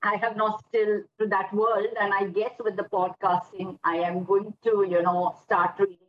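A woman's voice: medium pitch, flat delivery, moderate pace, lo-fi sound. A woman chatting on a podcast, hesitant, often repeating herself and saying "you know". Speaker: podcast co-host. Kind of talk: casual podcast chat.

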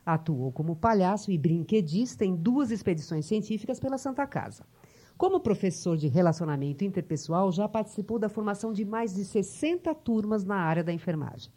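A woman's voice: mid-range voice, expressive, a little fast, warm tone. A woman hosting an interview, reading the guest's background and chatting with him, often tagging "né?". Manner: chatty, frequent tag "né?". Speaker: interview host.

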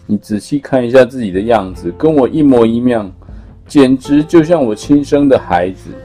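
A big, sly male tyrannosaurus, as a storyteller puts him on speaks with gloating emphasis and sly chuckles. His voice sits a little raised and loud, and he pushes every point hard. Faint music runs under the storybook reading. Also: medium pitch, lively intonation, normal speed, lightly acted.

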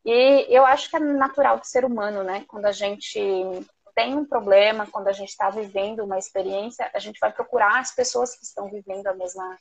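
A woman with a Brazilian Portuguese accent speaking as a guest in a live online interview, telling personal stories in a talkative, expressive, conversational way. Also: mid-range voice, fairly quick, creaky, slightly rough, low-quality recording. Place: remote video call.

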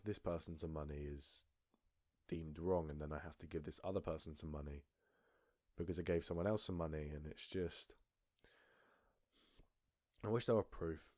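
A man's voice: low-pitched, dark tone, dry recording, studio quality. A young man talking casually on his own podcast, rambling with frequent restarts. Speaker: podcast host. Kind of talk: casual solo podcast monologue.

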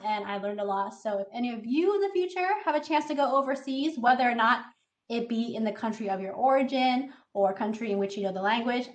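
A woman in her thirties, a scientist, giving a friendly, explanatory online presentation to students over a video call. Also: on the high side. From Taiwan, raised in the United States. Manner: friendly, explanatory, with filler uhs. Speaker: scientist giving a talk to kids.

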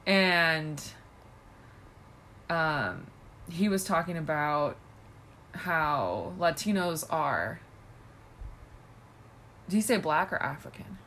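A woman's voice: low voice, expressive, very slow, pauses often, fairly bright tone, slight background noise. Chatty, self-conscious woman co-host. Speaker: podcast co-host. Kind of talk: casual chat.

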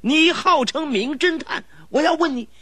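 A pompous old duke. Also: male voice, extremely high pitch, normal speed, fairly bright tone. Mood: indignant. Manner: pompous, blustering, scolding. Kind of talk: radio drama acting.

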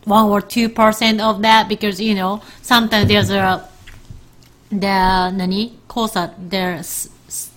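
A Japanese woman chatting casually in halting English, with Japanese as her first language, laughing often. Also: mid-range voice, flat delivery. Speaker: co-host. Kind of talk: casual chat.

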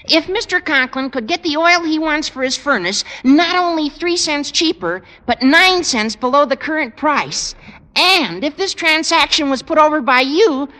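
An actor playing an eager, cheeky teenage schoolboy with a squeaky voice in a radio sitcom. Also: male voice, lively intonation, normal speed, bright, forceful tone, heavily acted. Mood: scheming, cheeky. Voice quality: squeaky, adolescent-sounding. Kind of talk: 1950s radio sitcom acting. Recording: old radio recording, long reverb.

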